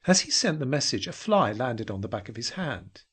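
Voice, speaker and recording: A man narrating a scripted audio drama with intense, dramatic, deliberate phrasing. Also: medium pitch, sing-song, fairly bright tone, breathy edge.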